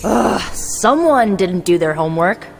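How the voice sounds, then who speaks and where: An actress playing a flippant, bossy young sorceress in a video game cutscene, talking in a slangy, animated way. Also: female voice, mid-range voice, measured pace, vocal fry. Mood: exasperated, instructing. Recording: music behind voice.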